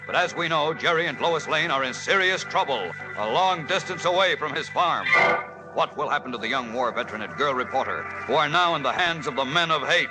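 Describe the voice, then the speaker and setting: A man's voice: on the high side, expressive, brisk, low-quality recording. Dramatic old-time radio male narrator. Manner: dramatic, emphatic, suspenseful. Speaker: announcer, narrator. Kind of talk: 1940s radio scripted narration. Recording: old radio recording with loud music.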